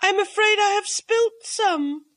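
A woman voicing an excitable society lady hostess who talks in a high, eager, fluttery way. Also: slow, exaggerated voice.

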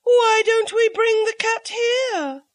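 Woman reading a fluttery upper-class hostess in a storybook, high and eager, slow. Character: excitable society lady hostess.

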